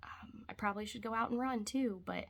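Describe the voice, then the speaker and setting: A woman's voice: a little high, a little fast. Congested woman chatting from her couch. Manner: rambling, chatty, trailing off into quiet asides. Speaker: vlogger. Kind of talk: casual chat. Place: home, on the couch.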